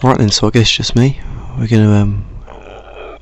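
A man's voice: fairly low pitch, steady tone, unhurried, gravelly, no echo. A British man hosting a podcast in a casual, bantering way. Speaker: podcast host.

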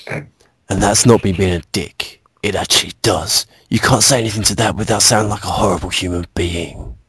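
A man's voice: sits low, moderate pace, a bit bright, slightly rough, no room sound. A man co-hosting a podcast, chatting casually and often repeating words in stuttering bursts. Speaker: podcast co-host. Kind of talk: casual banter among friends, with a dramatic reading.

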